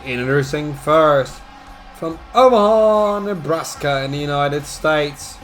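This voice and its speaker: Guy doing wrestling announcing, higher voice, hams it up loud then drops low, bit of music under it. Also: expressive, slow. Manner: theatrical, swings between booming shouts and low asides. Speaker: wrestling e-fed announcer and commentator. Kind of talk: play-by-play ring announcing and commentary.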